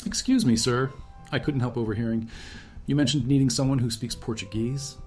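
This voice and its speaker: A man voicing a nervous, soft-spoken Brazilian woman janitor who used to teach literature, speaking politely and respectfully. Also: mid-range voice, fairly even intonation, fairly quick, soft background music, lightly acted. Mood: earnest. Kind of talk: storybook character voice.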